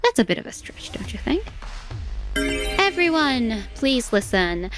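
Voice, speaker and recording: A woman plays a bold, teasing manga dub heroine with mock politeness and a challenging edge. Her voice is somewhat high and very animated, with music clearly playing behind it. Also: unhurried. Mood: cool challenge. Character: bold, teasing, sharp-witted young woman exposing a liar.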